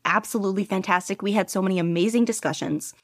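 A woman's voice: mid-range voice, quick pace, no echo, studio quality. A woman guest on a casual podcast interview, talking eagerly and laughing often. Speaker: podcast guest, audiobook reader.

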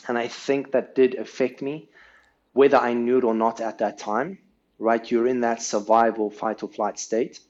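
A man's voice: medium pitch, level tone, moderate pace, darkish tone, highs cut off. A South African man, a podcast guest, telling his life story in a casual, earnest way and often ending sentences with "right?".

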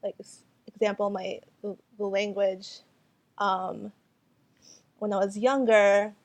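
Slow, hesitant woman artist. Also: medium pitch, flat delivery. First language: Cantonese. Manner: hesitant, many fillers and restarts. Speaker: artist and photographer. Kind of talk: interview answers.

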